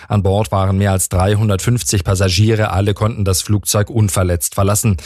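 A man reading the news on the radio in a measured, formal way. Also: lowish voice, a little mellow, no room sound, studio quality. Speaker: newsreader. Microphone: dry studio mic.

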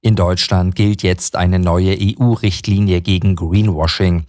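A very deep male voice reads the news with calm, formal precision. The studio sound is close and completely dry. Nothing plays behind him. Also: no room sound. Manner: calm, measured, formal delivery. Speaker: newsreader. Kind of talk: radio news reading.

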